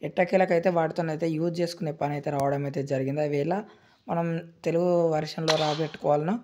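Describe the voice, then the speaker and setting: Rapid-talking gaming tutorial host. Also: male voice, fairly high pitch, steady tone, dark tone. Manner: rapid, repetitive filler-heavy explaining. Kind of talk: screen-recorded game tutorial.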